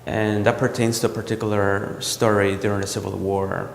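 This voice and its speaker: A man from El Salvador, a visual artist, talking about his work in a gallery in a reflective, halting way with many ums and restarts. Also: on the low side, little pitch movement, creaky, slight background noise. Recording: roomy gallery echo with long reverb.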